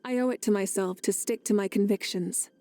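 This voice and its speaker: A woman voicing a composed, confident warrior goddess in a video game, speaking in a measured, earnest and self-assured way. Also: medium pitch, dark tone, lightly acted. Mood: solemn, resolute. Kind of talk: scripted video game character voice lines.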